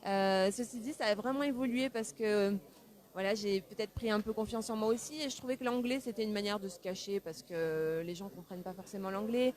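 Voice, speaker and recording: A woman's voice: medium pitch, a little fast. A woman who grew up in France, a singer and songwriter, answering interview questions in a thoughtful, easygoing way with easy laughs. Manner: thoughtful, warm, laughs easily.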